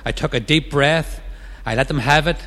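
A middle-aged man with a Northern Irish accent, telling his recovery story as main speaker at a meeting, quick and self-mocking. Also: on the high side, fairly bright tone, in a large hall. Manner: fast-talking, self-mocking humor. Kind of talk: personal recovery talk.

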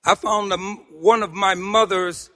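A man with a New York accent speaks in a high-pitched, forceful voice that rings through a reverberant hall. He tells his own story like a seasoned storyteller, animated and humorous, leaning on pauses and repetition for emphasis. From Harlem, New York City, United States. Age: middle-aged or older. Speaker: speaker at a recovery meeting. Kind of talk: personal testimony told to an audience with humor. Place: meeting hall, speaking to a group. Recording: echoey hall with long reverb.

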